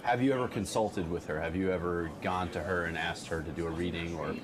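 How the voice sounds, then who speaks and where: A man conducting an unscripted radio interview, putting measured, probing questions. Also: deep voice, steady tone, crowd noise.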